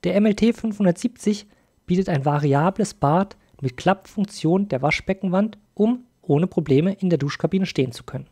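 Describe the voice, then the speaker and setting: A man's voice: high-pitched, normal speed, mellow, husky. A man hosting a video as an informal review voice-over, speaking directly to viewers. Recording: music behind the voice. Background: music.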